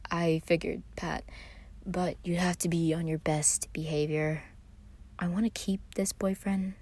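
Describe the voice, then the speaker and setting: Woman as a low, shy, mumbling gentle giant. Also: little pitch movement, a little slow. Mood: shy, hesitant. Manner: mumbling, hesitant, understated. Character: shy, anxious giant young man, gentle and reserved.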